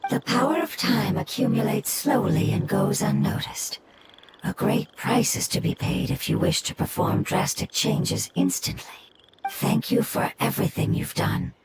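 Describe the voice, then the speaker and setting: A woman voicing a solemn ancient nature spirit who speaks in a grave, measured way, in game audio with music. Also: mid-range voice, expressive, heavy background noise.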